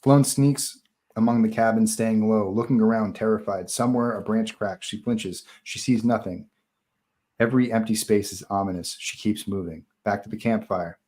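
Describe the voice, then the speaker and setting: A man's voice: lowish voice, steady tone, moderate pace, a little mellow. A man narrating stage directions at a live table read of a horror screenplay, in scripted narration style.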